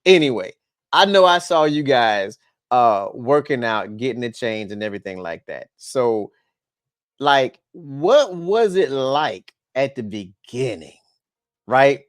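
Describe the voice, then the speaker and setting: A man hosting a podcast, joking and animated in casual chat. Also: mid-range voice, slow, no room sound, studio quality. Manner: joking, animated, stumbles and restarts. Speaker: podcast host.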